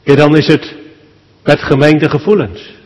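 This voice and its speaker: Male pastor, slightly high voice, very unhurried, solemn prayer in measured fragments. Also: little pitch movement, darkish tone. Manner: solemn, measured, in short phrases with deliberate pauses. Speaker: pastor leading a funeral service. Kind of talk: spoken public prayer at a church thanksgiving service. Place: church. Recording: long church hall reverb.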